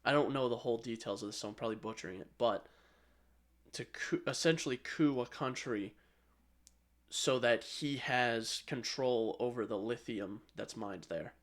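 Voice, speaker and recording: A man with a mid-pitched voice co-hosts a casual podcast. He gives his opinions bluntly and swears freely as he chats. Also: normal speed. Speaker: podcast co-host.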